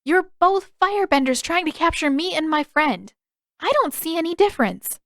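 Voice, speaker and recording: A woman voicing a sharp-tongued, defiant teenage girl in an audio story, challenging and indignant. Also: very high-pitched, expressive, moderate pace, no echo, clean studio sound, voice pushed up, full character voice.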